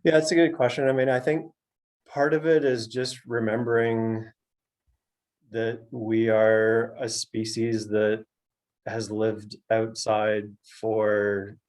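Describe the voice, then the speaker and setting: Low-voiced, reflective Canadian man. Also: flat delivery, slow pace, darkish tone. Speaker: author and outdoor education director being interviewed. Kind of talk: thoughtful interview answers at an online book launch.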